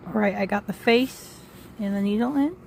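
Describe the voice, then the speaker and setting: A woman's voice: mid-range voice, normal speed, creaky, a little mellow. Woman demonstrating casually, faint background noise. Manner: informal, thinking aloud while working. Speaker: co-host demonstrating assembly.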